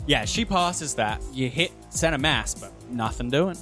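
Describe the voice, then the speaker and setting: A man's voice: mid-range voice, animated, unhurried. A man running a tabletop role-playing game, narrating vividly in an informal, sweary way. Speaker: game master. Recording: music playing under the voice. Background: music.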